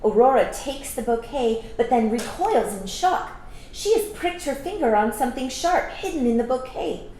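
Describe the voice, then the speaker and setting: A woman's voice: high voice, moderate pace. Woman reading a storybook in an echoey room. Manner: expressive, explains to young listeners. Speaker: storytime reader. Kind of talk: children's storybook read-aloud with asides. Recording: echoey room with faint background noise.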